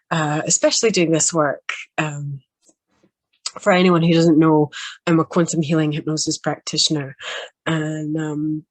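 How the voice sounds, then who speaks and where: A woman speaking as a healing practitioner in a casual, grateful chat with her viewers, still a little under the weather, with frequent soft laughs and pauses. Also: low-pitched, no room sound. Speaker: quantum healing hypnosis practitioner.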